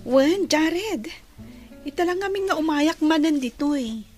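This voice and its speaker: An actress with a Filipino accent playing an earnest young hospital intern in a radio drama. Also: female voice, sits high, lively intonation, measured pace, a little mellow, faint music behind. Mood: friendly.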